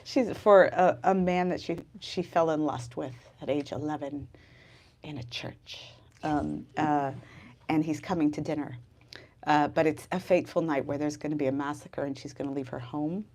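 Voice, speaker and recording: Woman, slightly low voice, author at a book event, expressive literary delivery, dramatic and emphatic. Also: moderate pace. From United States. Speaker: author reading from her novel and discussing it. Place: live bookstore event.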